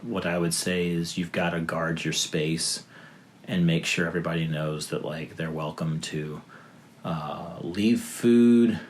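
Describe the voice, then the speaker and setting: A man in his thirties with a deep, low voice, speaking as a podcast guest. He rambles loosely, piling up fillers and restarting his sentences. A faint hiss sits under the recording. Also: fairly even intonation, measured pace. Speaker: guest, father of three. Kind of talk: casual podcast conversation.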